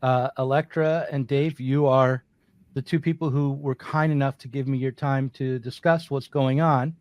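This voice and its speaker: Man, host, middle pitch, brief low-key questions. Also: fairly even intonation, moderate pace, darkish tone, clear, resonant tone, dry recording. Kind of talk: interview hosting.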